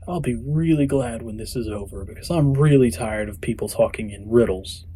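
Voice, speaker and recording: A man's voice: mid-range voice, moderate pace, dark tone, slight background noise, natural voice. A man playing a weary, bewildered, exasperated character in an audio drama.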